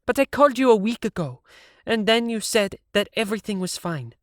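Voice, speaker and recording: A man's voice: high voice, animated, brisk, fairly bright tone, no room sound, clean studio sound, pitched above the performer's own voice. A man reading aloud and voicing a hot-tempered, blunt rich young woman. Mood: indignant. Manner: blunt, indignant, demanding.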